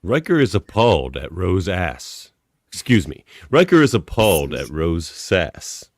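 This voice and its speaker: Deep-voiced, animated male narrator. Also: a little slow, dry recording, clean studio sound. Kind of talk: scripted stage-direction narration.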